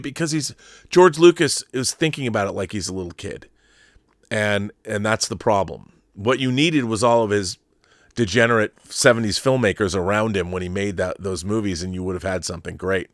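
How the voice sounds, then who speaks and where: A man's voice: on the low side, no echo, studio quality. A middle-aged American man hosting a casual, opinionated livestream, rambling with enthusiasm and emphasis. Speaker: YouTube show host and filmmaker.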